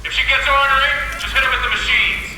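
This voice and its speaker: Callous high-pitched boss, booming echo. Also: male voice, fairly even intonation, quick pace, noisy background. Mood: commanding. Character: callous male official barking orders. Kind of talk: dramatic video game acting. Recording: heavily echoing, distant announcement.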